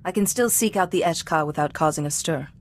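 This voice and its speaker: Low-voiced, composed Jedi Master. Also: female voice, a little hiss or hum. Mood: composed, resolute. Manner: composed, measured, formal. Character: calm, principled female Jedi Master. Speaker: game protagonist. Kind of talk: video game voice acting. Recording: music clearly under the voice.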